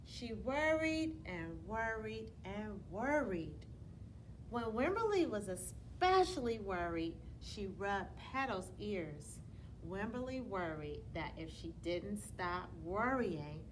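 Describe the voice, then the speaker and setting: A woman reading a children's bedtime story aloud in a teacherly, expressive way, putting questions to her young listeners as she goes. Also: medium pitch, slow, a little hiss or hum, rough recording. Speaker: elementary school storyteller reading to students.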